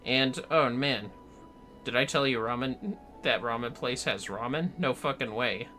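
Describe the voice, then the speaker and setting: Male game streamer, bright forceful voice, casual chat with theatrical flair and cursing, background music. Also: medium pitch, unhurried. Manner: sweary, playfully theatrical. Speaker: livestreaming gamer. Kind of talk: casual livestream gaming chat.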